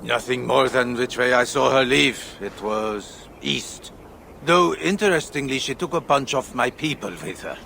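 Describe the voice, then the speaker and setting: An actor playing a grateful, helpful mine worker in a video game, earnest and expressive. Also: male voice, medium pitch, measured pace, vocal fry, a little hiss or hum. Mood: earnest, pondering. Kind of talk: video game cutscene acting.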